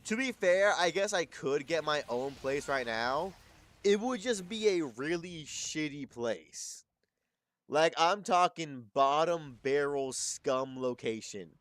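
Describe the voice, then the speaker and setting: A man's voice: on the high side, lively intonation, slow, a bit bright, no echo. A man of twenty-two chatting casually on a live stream, rambling and correcting himself, swearing freely.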